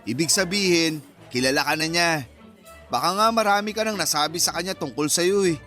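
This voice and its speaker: An actor playing a bossy, meddling close friend in a radio drama, with a teasing, exclamatory manner. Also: male voice, fairly high pitch, brisk, bright, forceful tone, soft background music. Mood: scolding.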